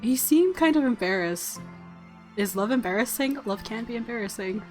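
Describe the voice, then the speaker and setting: Woman, higher-pitched voice, playful, expressive, gaming host, casual reactions and story narration, background music. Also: moderate pace. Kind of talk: let's play, reading narration and reacting casually.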